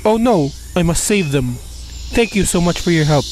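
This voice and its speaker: Radio play: man as kind young prince, earnest and theatrical. Lively highish voice, noisy cricket backdrop. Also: normal speed, lightly acted. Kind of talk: student radio play acting. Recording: noisy, chirping night-insect ambience.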